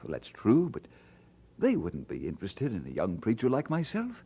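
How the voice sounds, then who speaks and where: A husky, low-pitched young Scottish preacher in an audio drama. He is earnest and devoted with a playful streak, and his mellow voice swings widely in pitch. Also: huge pitch swings, normal speed, heavily acted. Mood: modest, flustered. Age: young adult.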